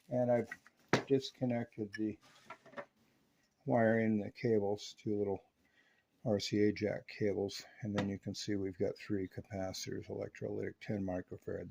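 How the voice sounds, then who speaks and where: An American man narrating radio repair work and chatting on CB radio in a casual, unhurried way with many pauses. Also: medium pitch, darkish tone, a little hiss or hum. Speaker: radio repair technician and presenter.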